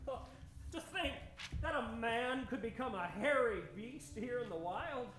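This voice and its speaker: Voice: mid-range voice, expressive, measured pace, a bit bright, reverberant, poor audio. A performer playing a smug, wealthy, pompous adventurer doctor in a comic old-time radio play, melodramatic and repetitive. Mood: earnest, dramatic. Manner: pompous, melodramatic, repeats himself.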